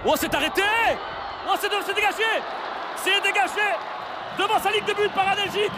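A man calling a football match live, shouting excited play-by-play. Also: very high-pitched, brisk, heavy background noise. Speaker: match commentator. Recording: loud stadium crowd behind.

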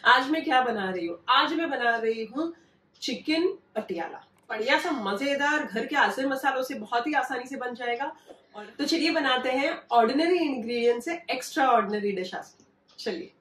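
Woman, cooking host, homely step-by-step instructions, raised pitch, recorded away from the mic. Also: lively intonation, moderate pace, a bit bright, distant mic. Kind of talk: home cooking tutorial. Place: home kitchen.

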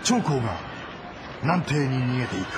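A man's voice: mid-range voice, big pitch swings, moderate pace, noisy background. A man delivering dramatic scripted narration of a story as its narrator. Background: loud music.

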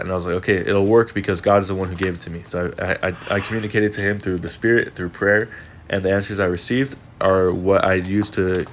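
A young man with a slightly low voice talks through a casual vlog voice-over. He sounds congested and sniffly. His delivery is earnest and reflective, and it wanders from thought to thought. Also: slight background noise. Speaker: fitness vlogger.

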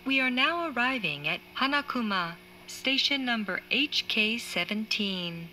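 A woman reading scripted train announcements in a formal, measured manner, as heard inside a carriage. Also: a little high, animated, pushed and bright, poor audio. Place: train carriage speaker, train ambience behind. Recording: faint train noise, recorded inside carriage.

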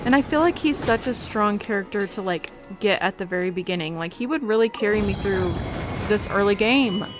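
A woman's voice: medium pitch, animated, brisk. A woman hosting a gaming video with casual, chatty, rambling gameplay commentary. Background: game music.

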